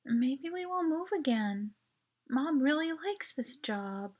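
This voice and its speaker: A woman's voice: high-pitched, lively intonation, slow pace, darkish tone, voice pushed up. A woman voicing a headstrong teenage girl in a short story, direct and a little defiant. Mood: wistful. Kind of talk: dramatic short story reading.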